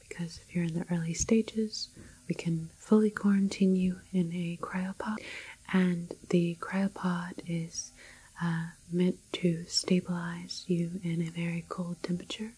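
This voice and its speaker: A woman doing an ASMR roleplay as a calm, apologetic doctor, speaking in whispers and hushed, halting explanations. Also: on the low side, slightly rough, rough recording. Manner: hesitant, halting, gentle clinical explaining. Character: calm, apologetic female doctor in a quarantine facility. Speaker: ASMR performer playing a doctor.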